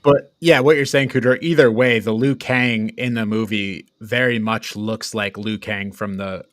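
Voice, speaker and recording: A man's voice: fairly low pitch, fairly even intonation, normal speed, dry recording, clean studio sound. A man co-hosting a casual film discussion, explaining his points conversationally. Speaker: podcast co-host.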